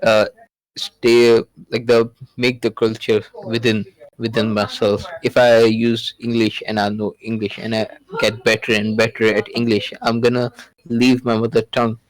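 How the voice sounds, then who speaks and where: A man's voice: medium pitch, flat delivery, moderate pace, low-quality recording. A man whose first language is Urdu, chatting casually in English on a podcast, hesitant, with many fillers and restarts.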